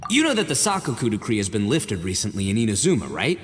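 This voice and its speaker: A man's voice: lowish voice, wildly swooping pitch, fast. A man playing a brash, boastful young gang boss in a video game, theatrical and goofy. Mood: casual, cocky. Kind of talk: video game cutscene acting. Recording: reverberant, faint music behind.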